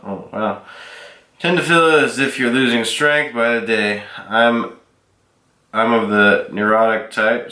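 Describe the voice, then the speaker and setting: Congested young male vlogger, lowish voice, loose and rambling Q&A delivery. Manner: relaxed, rambling with frequent ums and coughs. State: sick, congested and sore-throated. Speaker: powerlifter vlogger answering viewer questions. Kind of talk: casual Q and A vlog. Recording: echoey room.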